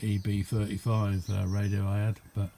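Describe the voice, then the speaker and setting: A man's voice: lowish voice, monotone, moderate pace, creaky, dark tone, slight background noise. A man giving a casual, unscripted show-and-tell as a hobbyist, rambling along in an understated way. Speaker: hobbyist demonstrating a homemade radio.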